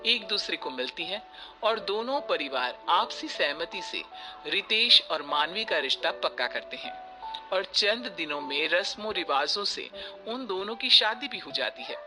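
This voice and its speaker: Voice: mid-range voice, lively intonation, unhurried. A narrator reading a scripted moral story in a storytelling style. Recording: music bed behind the voice.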